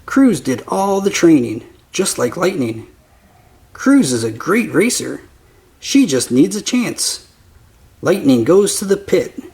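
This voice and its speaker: A man reading a children's picture book aloud as narrator, with simple, deliberate phrasing for young listeners. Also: fairly high pitch, very animated, darkish tone. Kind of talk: slow scripted children's story narration.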